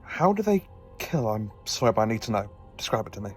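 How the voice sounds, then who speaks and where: A man playing an eccentric, inquisitive and excitable time-traveling alien scientist in a sci-fi audio drama. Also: lowish voice, quick pace, soft background music. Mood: grave.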